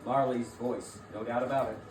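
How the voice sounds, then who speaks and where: A man's voice: mid-range voice, fairly even intonation, normal speed, a little mellow. Male narrator in an echoey room. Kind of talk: dramatic scripted narration. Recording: echoey noisy room, distant mic.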